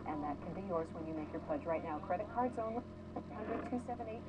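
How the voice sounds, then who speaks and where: Upbeat woman pitching a pledge drive. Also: lively intonation, fast talker, very noisy. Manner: upbeat, persuasive, repeats phone number. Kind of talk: fundraising pitch. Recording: dull lo-fi TV broadcast recorded off air.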